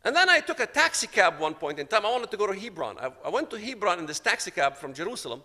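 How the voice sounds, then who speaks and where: A man's voice: high-pitched, big pitch swings, quick pace, bright, forceful tone, long reverb tail. A man from Palestine giving a personal testimony, telling his story dramatically and acting out the dialogue. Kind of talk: storytelling talk to an audience.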